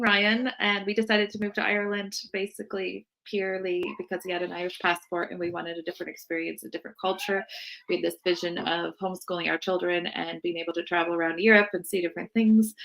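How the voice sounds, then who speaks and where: A woman's voice: mid-range voice, gravelly, bright, forceful tone, rough recording. An American woman in her thirties, chatting casually as a guest in an interview. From United States, Seattle area. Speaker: podcast guest, birth worker.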